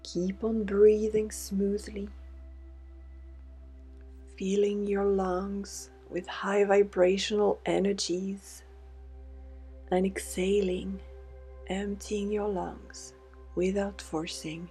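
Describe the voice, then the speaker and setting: Lady doing guided meditation, slow soothing voice kinda breathy and whispery, medium pitch, music behind her. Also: little pitch movement, mellow. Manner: soothing, deliberate, with breathy half-whispered phrases. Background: meditation music with singing bowl tones.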